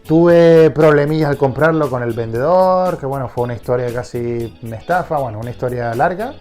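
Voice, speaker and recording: A man's voice: medium pitch, a little fast, a little mellow, soft background music. Stuffy-nosed man. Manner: enthusiastic, many restarts. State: congested with a cold, nasal. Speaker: collector vlogger. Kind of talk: casual vlog.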